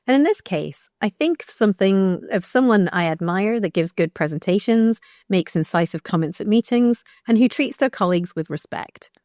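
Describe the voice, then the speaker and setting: A woman with a croaky voice reading her own blog post aloud, measured and thoughtful with brief restarts. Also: medium pitch, darkish tone, dry recording, clean studio sound. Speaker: researcher narrating her blog.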